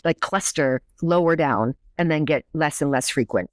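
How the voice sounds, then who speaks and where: A woman's voice: low voice, moderate pace, dry recording, clean studio sound. A woman professor and native English speaker, explaining her research in a conversational podcast interview, thoughtful with frequent restarts.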